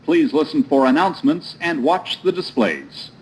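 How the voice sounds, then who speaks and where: A man's voice: a little high, sing-song, normal speed, slightly rough. A man reading formal, instructive scripted announcements, played over subway car speakers. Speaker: train announcer. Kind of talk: scripted automated transit announcements. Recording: noisy recording inside subway train. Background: train noise.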